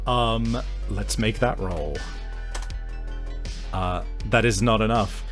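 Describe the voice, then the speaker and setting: Excitable game master, a man over music. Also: lowish voice, slow pace. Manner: casual, profane, excitable storytelling. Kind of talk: narrating a tabletop roleplaying game. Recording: music playing behind the voice. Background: background music.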